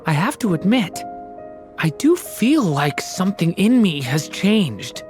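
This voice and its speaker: A sincere, curious young boy from a video game. The voice is animated and open, earnest in tone, over faint music. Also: fairly low pitch, unhurried. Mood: earnest, friendly.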